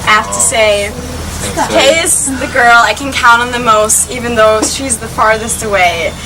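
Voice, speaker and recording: A woman's voice: a little high, moderate pace. A woman in her late twenties with an Austrian German accent, a native German speaker, giving a chatty, giggly maid-of-honor toast at a wedding reception. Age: late 20s. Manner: giggly, chatty, laughs between lines. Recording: distant mic in a noisy crowd.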